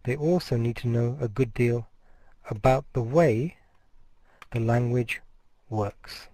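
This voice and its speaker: Mellow-voiced man giving a measured lecture. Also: medium pitch, dry recording. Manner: measured, explanatory, restarts words mid-phrase. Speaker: university lecturer. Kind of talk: recorded course lecture.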